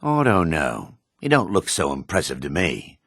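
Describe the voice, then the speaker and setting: A very low, husky male dark elf from a fantasy game. He is a haughty rogue, curt and suspicious, and his delivery swings widely in pitch. The sound is gravelly and disdainful. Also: wildly swooping pitch, normal speed, dry recording, clean studio sound, exaggerated voice. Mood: dry, dismissive. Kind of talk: video game character dialogue.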